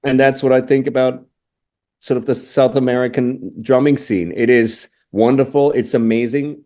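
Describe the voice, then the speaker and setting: Mellow-voiced Austrian man, thoughtful. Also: mid-range voice. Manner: thoughtful, restarts phrases, frequent "you know". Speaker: interview guest, drummer. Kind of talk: casual interview answers.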